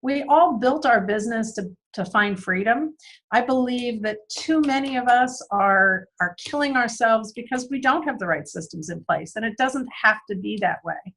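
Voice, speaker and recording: A woman guest who is losing her voice, answering interview questions earnestly with frequent "um" pauses. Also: fairly high pitch, expressive, fairly bright tone. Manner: earnest, many "um" fillers and repeated words. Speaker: guest speaker on business systems.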